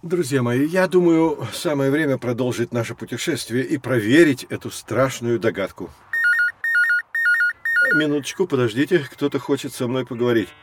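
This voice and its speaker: A man playing a patient science teacher who guides children, explaining in a measured way in an educational audio drama. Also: medium pitch. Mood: friendly, engaged.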